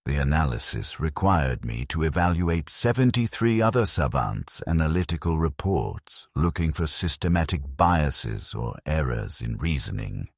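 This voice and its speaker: A man narrating a first-person story in a measured, grave and detached way. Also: very deep voice, sing-song, gravelly, dry recording, clean studio sound.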